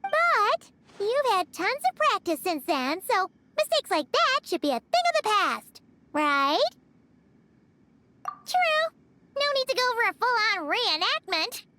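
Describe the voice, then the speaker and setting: Game sidekick fairy: childlike, excitable, squeaky, very high register, swooping intonation. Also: female voice, takes it slowly, a little hiss or hum, exaggerated voice. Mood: chatty. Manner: refers to self in third person, exclamatory. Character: tiny excitable floating fairy companion, childlike, cheeky. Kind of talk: video game voice acting.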